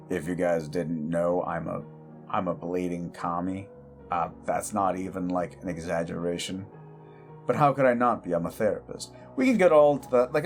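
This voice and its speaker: A man's voice: on the low side, a little mellow. A man hosting his audio channel chats casually in the outro. He rambles cheerfully and fills his talk with ums and uhs. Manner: rambling and upbeat, with many fillers. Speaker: host and audio creator; therapist.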